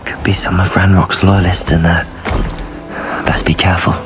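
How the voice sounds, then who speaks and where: An actor playing an earnest, cautious young wizard student in a video game, speaking in a measured way. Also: male voice, sits low, flat delivery, natural voice. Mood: determined. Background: game music.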